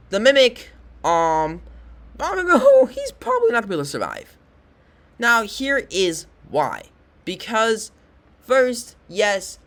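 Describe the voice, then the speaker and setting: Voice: medium pitch, fairly bright tone. A host giving casual video commentary in a rambling way, often repeating and self-correcting.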